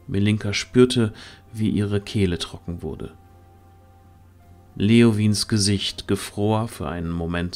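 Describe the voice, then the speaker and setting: A man reading scripted narration for a fantasy audio drama. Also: fairly low pitch.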